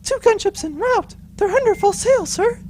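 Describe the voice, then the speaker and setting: Woman playing a very high-pitched flustered radio officer. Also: animated, normal speed, darkish tone, slight background noise. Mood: dutiful. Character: flustered junior starship radio officer, eager and deferential. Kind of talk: space adventure audio drama acting.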